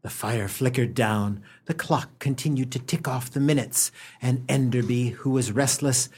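A man's voice: mid-range voice, normal speed, a little mellow, breathy edge. A man narrating a radio play as a scripted storyteller. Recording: noticeable background noise.

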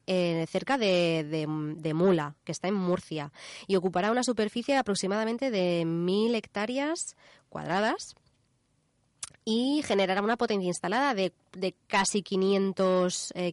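A woman's voice: mid-range voice, no room sound. A woman with a Spanish accent from Spain, chatting informally and enthusiastically as a radio co-host. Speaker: radio show co-host presenting a news and gadgets section.

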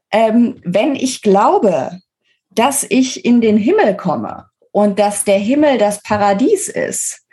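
A woman of medium pitch speaks. She explains her points as an interview guest, articulate and emphatic, while keeping the wording colloquial. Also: darkish tone. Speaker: guest author interviewed about her book. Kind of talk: conversational podcast interview, explanatory.

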